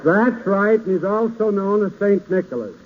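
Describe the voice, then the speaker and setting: A man's voice: sits high, animated, normal speed, narrow, tinny audio. A man playing the patient, slightly exasperated adult humoring a pestering child in 1930s radio comedy, speaking politely. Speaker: radio show host playing comic straight man. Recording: thin, noisy 1930s radio broadcast.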